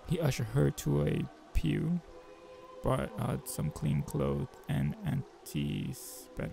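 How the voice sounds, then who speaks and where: Man, heavy vocal fry, dark tone, casual visual novel narration, joking asides, faint music behind. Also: fairly high pitch, slow. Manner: informal, joking commentary between read passages. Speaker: video game player and narrator.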